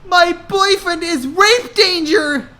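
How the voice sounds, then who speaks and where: An overwrought teenage girl from a parody story, acted out by a man. The voice is a shrill, very high falsetto, loud and sharp, full of panicky melodrama. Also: slow pace, full character voice. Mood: wailing, panicked.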